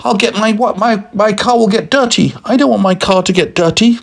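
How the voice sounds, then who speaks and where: A man voicing an angry, impatient car driver in a children's story, indignant, strained and shrill. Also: brisk, creaky. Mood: cross, indignant. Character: furious, impatient, shouting male car driver.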